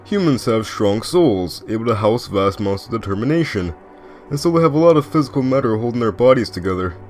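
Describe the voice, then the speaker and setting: A man's voice: medium pitch, expressive, darkish tone, faint music behind, close to an everyday voice. A man voicing a laid-back, teasing, knowing skeleton big brother, explaining things casually in a comic dub. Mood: calm explaining. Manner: casual, unbothered, drawn-out explaining.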